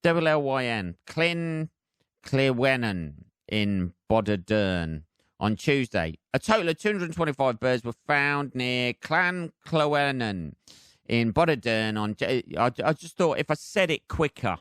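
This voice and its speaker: A man's voice: medium pitch, very animated, a little slow, fairly bright tone, smooth, no room sound, studio quality. A British man hosting a solo comedy podcast, ranting casually in a sweary, sardonic, rambling way. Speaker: podcast host.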